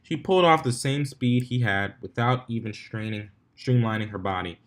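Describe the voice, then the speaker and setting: A man with a middle-pitched voice narrates a written story. The reading is homemade in feel, with words now and then stumbled over and taken again. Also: normal speed, a bit bright. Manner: amateur reading with stumbles and retries. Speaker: fan fiction reader.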